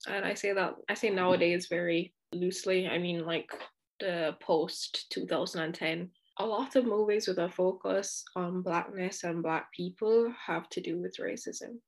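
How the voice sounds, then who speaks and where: Woman in a candid chat, outspoken, lots of asides, a bit of fry in her voice. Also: lowish voice, steady tone, normal speed. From Jamaica. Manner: earnest and outspoken, with frequent asides. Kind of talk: candid conversational discussion.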